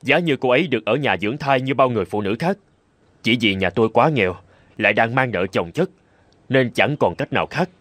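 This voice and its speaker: A man's voice: medium pitch, expressive, brisk, a bit bright. A man voicing a poor, weary, humble noodle-seller husband in a cartoon fairy tale, with a plaintive manner. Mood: weary sorrow. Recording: clear background music.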